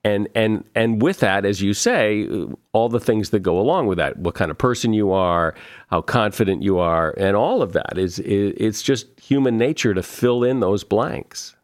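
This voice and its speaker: A male podcast host with a somewhat low voice talks casually. He often repeats words as he gets going. The recording is dry and close. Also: moderate pace, no echo. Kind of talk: interview conversation.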